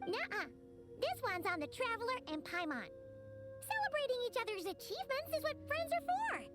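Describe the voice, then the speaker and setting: A woman voicing a tiny, excitable childlike fairy companion in a video game, squeaky and perky. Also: fairly quick. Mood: cheerful. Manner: perky, refers to herself in third person. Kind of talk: video game character acting. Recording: loud game music behind. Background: playful game music.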